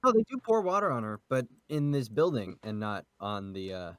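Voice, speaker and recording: A man's voice: lowish voice, big pitch swings, normal speed, fairly bright tone, smooth, no room sound, studio quality. A man chatting casually as a podcast panelist, rambling and cutting himself off. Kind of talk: casual group discussion.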